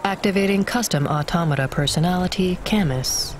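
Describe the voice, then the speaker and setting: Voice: medium pitch, fairly quick, a little hiss or hum, close to an everyday voice. An actor playing an emotionless AI assistant in a video game, reciting data in a formal, precise way. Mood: clinical. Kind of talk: scripted game dialogue, reading data.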